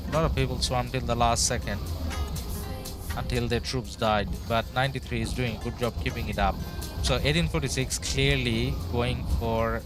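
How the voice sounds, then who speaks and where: A man's voice: mid-range voice, moderate pace. Man casually commentating a live game stream. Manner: relaxed, hedging, reads chat aloud. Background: background music.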